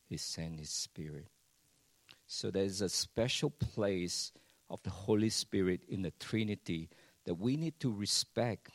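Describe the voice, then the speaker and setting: A man preaching a sermon in English. He swings between hushed lines and loud ones and keeps asking the congregation rhetorical questions. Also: lowish voice, dry recording. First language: Chinese.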